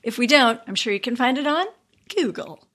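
A woman with a fairly high voice chats casually as a podcast co-host. Her delivery is giggly and flustered, in the loose style of informal banter. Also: expressive, brisk, a bit bright.

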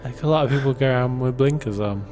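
Tentative, amiable date played by a man, mid-range voice, halting delivery, improvised drama with music underneath. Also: mid-range voice, darkish tone, close to an everyday voice. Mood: thoughtful. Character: agreeable, slightly awkward man on a date. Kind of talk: improvised audio drama acting.

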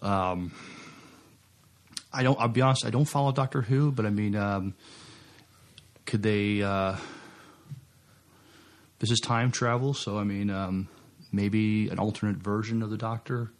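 A male podcast co-host with a fairly low voice chats casually. His delivery is understated and a bit hesitant, as if thinking while he talks. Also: steady tone, a little slow, long pauses. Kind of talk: casual chat.

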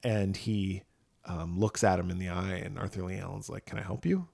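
A man's voice: sits low, steady tone, normal speed, gravelly, a little mellow. A man co-hosting a podcast, casually retelling a film's story and acting out bits of dialogue as he goes. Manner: conversational retelling with acted-out dialogue. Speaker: podcast co-host. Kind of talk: casual film discussion, retelling the plot.

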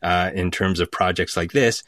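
A man with a low voice talks casually as a guest writer on a panel. He is emphatic and repeats phrases to make his point. The sound is very dry, with little room in it. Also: steady tone, moderate pace, fairly bright tone, no echo. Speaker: playwright, podcast guest.

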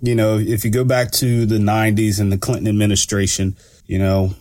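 A man hosting his own radio talk show, sounding a bit stuffy from allergies and talking in a relaxed, rambling way with lots of fillers. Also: lowish voice, brisk, dry recording. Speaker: radio show host and law enforcement analyst. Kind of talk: casual solo radio talk.

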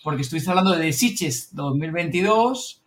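A man's voice: on the high side, animated, normal speed, a bit bright. A man with an accent from Spain hosts a casual podcast chat, prompting guests with questions. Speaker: podcast host.

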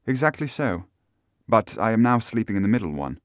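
A man voicing an earnest, anxious young gentlewoman in a detective story audiobook. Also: fairly low pitch, sing-song, a little fast, no room sound, studio quality, voice pushed up.

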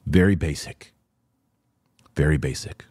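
A man's voice: very deep voice, unhurried, a little mellow, breathy edge, no echo, clean studio sound. A man hosting a podcast, giving emphatic live commentary and answering viewers, often repeating short phrases for stress. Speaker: podcast host and religious commentator.

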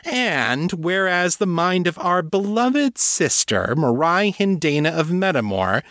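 A man's voice: high voice, sing-song, takes it slowly, no room sound, studio quality, pitched above the performer's own voice, heavily acted. A man voicing an angry young feline priestess who speaks in a fierce, accusatory way. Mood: accusing, formal. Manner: shouting, snarling, accusatory.

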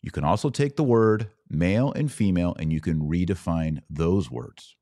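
A low-pitched American man hosts an opinionated commentary podcast. His delivery is emphatic and sarcastic, and he slips into mimicry of opponents. The sound is dry, with no room around the voice. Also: lively intonation, moderate pace, dark tone, dry recording.

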